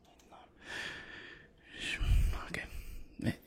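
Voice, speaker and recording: A man doing a hushed ASMR doctor roleplay, guiding the listener patiently and reassuringly. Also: very slow. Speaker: ASMR creator playing a doctor. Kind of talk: whispered ASMR medical roleplay.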